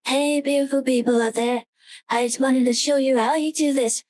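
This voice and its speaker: A young woman a man behind an AI voice changer chats to an online audience. The pitch is high and the sound is very dry. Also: fairly even intonation, normal speed, a little mellow, dry recording. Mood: chatty.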